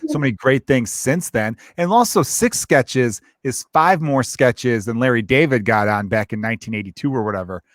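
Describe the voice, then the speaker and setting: Thirties podcast host, easygoing and supportive, dry close mic. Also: male voice, medium pitch, expressive, moderate pace, few pauses, no echo. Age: 30s. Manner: friendly, encouraging, talks in loose run-on sentences. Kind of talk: casual chat.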